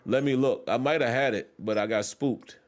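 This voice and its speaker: A man's voice: mid-range voice, normal speed. A man streaming a football video game, talking casually through his own plays and thinking aloud, often repeating himself as he coaches himself along. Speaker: gaming streamer. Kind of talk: casual gameplay commentary.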